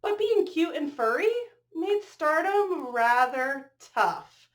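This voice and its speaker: A woman reading a storybook aloud to young children, expressively drawing out phrases and pausing to ask them questions. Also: high voice, lively intonation. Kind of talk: storybook read-aloud with asides to young listeners.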